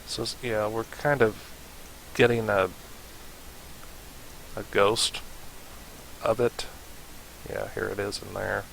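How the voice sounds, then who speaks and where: Man, low creaky voice, casual tutorial narration, thinking aloud, faint hiss. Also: little pitch movement, slow pace, pauses often, a bit bright, rough recording. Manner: informal, thinking aloud while demonstrating. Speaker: tutorial host. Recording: hissy home recording.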